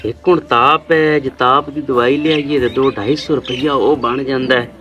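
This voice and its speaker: A man's voice: medium pitch, steady tone, normal speed, close to an everyday voice. A man with a rural Pakistani Punjabi accent acting a poor, proud, worried village father in a weary, rambling way. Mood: despairing. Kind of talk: amateur moral drama acting. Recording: dull lo-fi video with faint music.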